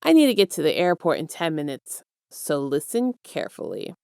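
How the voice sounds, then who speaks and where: A woman voicing a smug, affectionate male villain mastermind with a soft, teasing, self-assured manner in an audio story. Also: low voice, wildly swooping pitch, moderate pace, vocal fry, no echo, studio quality, full character voice. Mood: businesslike.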